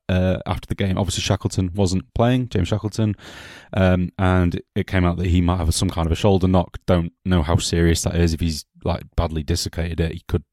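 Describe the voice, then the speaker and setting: Host: low man, chatty and jokey, slight cough, dry sound. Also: darkish tone, dry recording. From England. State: has a cough. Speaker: podcast host. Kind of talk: casual football podcast chat.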